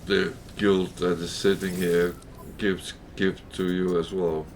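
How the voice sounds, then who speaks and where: A man streaming a game, his voice husky and crackling today, thinking aloud with many fillers and pauses. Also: low voice, level tone, darkish tone. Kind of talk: casual gameplay commentary.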